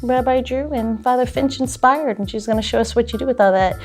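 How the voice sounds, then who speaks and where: A woman's voice: fairly high pitch, brisk, faint music behind. A woman co-hosting a podcast, moving between casual banter and show announcements. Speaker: podcast co-host.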